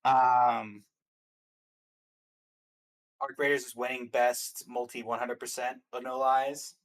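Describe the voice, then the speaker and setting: A man's voice: medium pitch, level tone, slow. A man chatting casually and giving his opinions as a guest commentator on games. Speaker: guest commentator on game awards stream.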